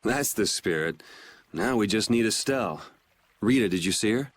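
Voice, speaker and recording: A man's voice: fairly low pitch, expressive, moderate pace, slight background noise, natural voice. An actor playing a laid-back, blunt young swordsman hero in a video game, with a casual, dry manner. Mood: casual, determined.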